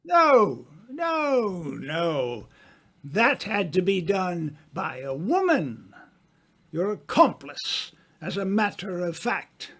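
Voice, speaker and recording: A man acting in an old-time radio mystery as a suave, smug gentleman sleuth, with a theatrical, accusing delivery. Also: sits high, sing-song, very slow, full character voice.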